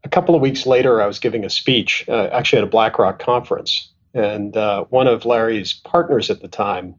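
Husky-voiced man telling career stories. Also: lowish voice, brisk, dull audio. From Canada. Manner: thoughtful, self-deprecating, frequent restarts and fillers. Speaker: asset management executive, guest. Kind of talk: conversational interview storytelling.

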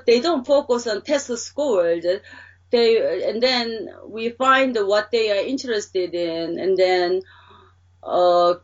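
A woman's voice: medium pitch, darkish tone, far from the mic, lo-fi sound. Korean-accented woman, hesitant and rephrasing. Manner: hesitant, many fillers, rephrasing. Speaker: interviewee, researcher who hires graduate students. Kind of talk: casual interview answers.

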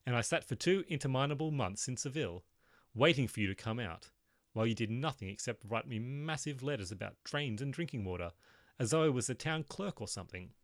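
A man's voice: medium pitch, animated, fairly quick, dry recording, studio quality, natural voice. A man voicing a restless, irritable young man who complains in a sarcastic, exasperated way.

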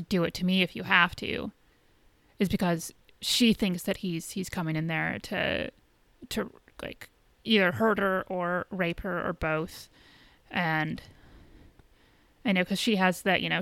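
Woman podcast host, lots of vocal fry, dry close sound, halting casual explaining, says you know a lot. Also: lowish voice, pushed and bright, no room sound. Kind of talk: casual chat, explaining a TV show.

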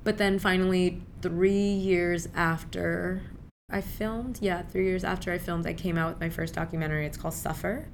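A woman's voice: lowish voice, fairly even intonation, normal speed, slight background noise. A young woman from Pakistan whose native tongue is Urdu, a filmmaker guest talking casually and animatedly in an interview, with lots of fillers.

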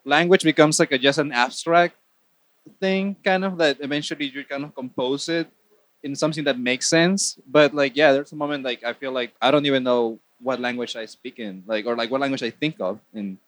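A man with as his talks as an academic panelist in a mid-pitched voice. He is earnest and informal, with loose phrasing, and the recording is dry. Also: a little fast, a bit bright, no echo. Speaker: panelist, professor. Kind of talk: casual panel talk.

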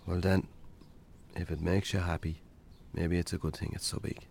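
An actor with an Irish accent playing a stubborn, wry farmer in a radio drama, dry and offhand. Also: male voice, deep voice, little pitch movement, moderate pace, creaky, darkish tone, slight background noise. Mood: caring, dry. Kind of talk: naturalistic radio drama acting.

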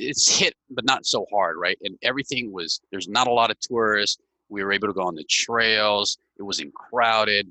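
A man's voice: lowish voice, bright, forceful tone, no room sound, poor audio. A middle-aged man from Hawaii, a guest chatting casually and telling stories, often tagging "right?" onto his sentences. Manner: storytelling, many "right?" tags. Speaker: podcast guest. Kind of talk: casual interview chat.

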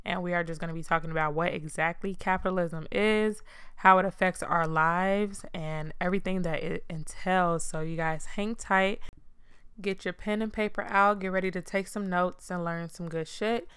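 Chill monotone young woman podcaster. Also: normal speed, smooth, no room sound, studio quality. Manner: chill, low-key monotone delivery; frank and profane. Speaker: podcast host. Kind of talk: casual solo podcast talk.

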